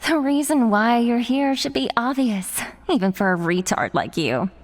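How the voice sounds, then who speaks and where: A woman's voice: fairly high pitch, expressive, normal speed, background noise, close to an everyday voice. A woman playing a playful, sadistic young metalhead villainess in a horror audio drama, theatrical, taunting and foul-mouthed. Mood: taunting menace.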